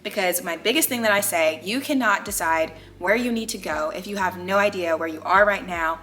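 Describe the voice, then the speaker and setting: A woman's voice: lowish voice, brisk, a bit bright, a little hiss or hum. A young American woman hosting a casual hair care tutorial, chatty and emphatic, addressing viewers like girlfriends. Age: young adult. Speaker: video host, natural hair care creator. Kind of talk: casual vlog tutorial talk.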